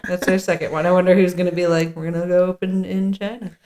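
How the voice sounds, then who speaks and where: A woman's voice: lowish voice, level tone, fast talker, a little mellow. A woman co-hosting a podcast in casual chat, with a playful, jokey manner, sometimes reading from notes. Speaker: podcast co-host.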